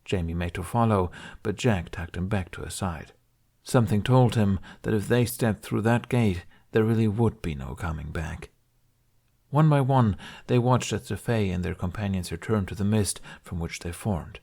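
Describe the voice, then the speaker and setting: Male narrator, lowish voice, calm measured storybook read. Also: creaky. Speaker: podfic reader.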